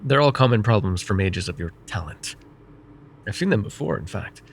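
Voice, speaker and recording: A man voicing a vain, wry, middle-aged male mage who counsels a student in an ASMR roleplay, speaking in a calm, measured and gently teasing way. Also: on the low side, slight background noise. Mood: calm reassuring. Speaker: voice actor.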